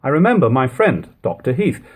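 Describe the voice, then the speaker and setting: A man with a husky voice reading a literary text aloud as an audiobook narrator, in a lo-fi home recording. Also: medium pitch, sing-song, a little fast. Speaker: audiobook reader.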